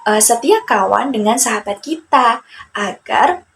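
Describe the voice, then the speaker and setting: A young woman storyteller from Indonesia with a fairly high voice. She speaks very slowly and expressively to children, with faint ambient noise behind her. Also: animated, a bit bright. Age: young adult. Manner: deliberate, addressing young listeners directly.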